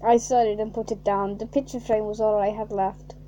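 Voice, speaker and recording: A woman reading a fan fiction aloud in a mocking way, reading flatly and then bursting into shrieking, laughing asides. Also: medium pitch, moderate pace, dull audio, a little hiss or hum.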